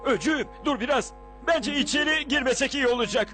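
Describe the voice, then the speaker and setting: A man's voice: very high voice, a little fast, sharp tone. A man voicing a timid, fretful little male bug sidekick in a children's cartoon, hesitant and childlike. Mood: uneasy. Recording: music behind the voice.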